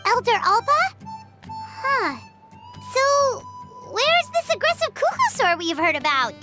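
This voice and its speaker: A woman voicing a small, eager, chatty fairy companion in a video game, with a squeaky childlike voice. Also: sing-song, slow pace, full character voice. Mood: puzzled. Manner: exclamatory, talks of self in third person. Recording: loud music behind.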